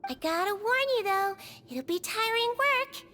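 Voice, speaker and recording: A woman voicing a small, gentle female melusine nurse in a video game, motherly and gently teasing. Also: very high voice, very animated, unhurried, exaggerated voice. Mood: caring. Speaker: prison nurse.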